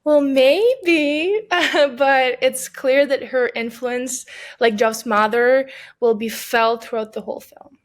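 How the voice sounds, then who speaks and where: A woman speaks as an actress being interviewed, giving conversational answers. Her voice is pitched somewhat high. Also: slow pace.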